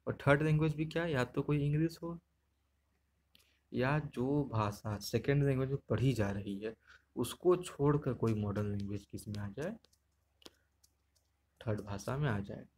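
A man's voice: medium pitch, normal speed, pauses often, a little mellow, slight background noise. Man teaching an exam-prep lesson. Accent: Hindi. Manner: explanatory, repeats points, checks with "ठीक". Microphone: screen recording, unseen speaker.

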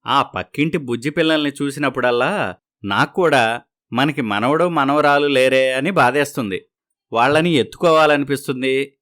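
A man voicing a sad, gentle older father-in-law in an audio family story. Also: mid-range voice, normal speed, close to an everyday voice. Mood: sorrowful.

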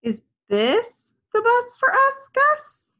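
A woman voicing an eager, excited little girl in a picture book, asking in a sing-song way. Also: very high-pitched, slow pace, voice pushed up, exaggerated voice. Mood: eager, hopeful. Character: eager little girl waiting for the school bus.

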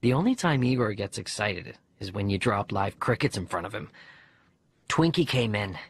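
A man voicing a bereaved young boy who narrates his diary letters in an expressive, conversational audiobook reading. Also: lowish voice, normal speed, creaky, slightly rough, no echo, studio quality, exaggerated voice. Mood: fond, observant.

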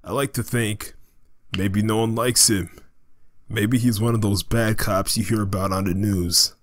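A man acts as a remorseful criminal in an audio drama. His delivery is very slow and grave, on the low side, in a close, dry recording. He halts often, weighed down and weary. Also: steady tone, no echo. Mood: somber, remorseful. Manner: halting, grave, measured. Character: weary, remorseful male drug dealer haunted by killing.

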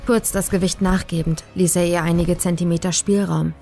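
A woman reading a horror story as a scripted, dramatic narration, measured and suspenseful. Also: fairly low pitch, steady tone, dark tone. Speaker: audiobook narrator. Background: faint atmospheric music.

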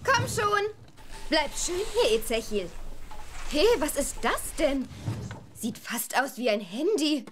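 Caring, practical girl for children's audio play; high, lively, unhurried delivery. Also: background noise, heavily acted. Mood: brisk, puzzled. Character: caring, practical girl talking with her owl. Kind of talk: children's audio drama acting.

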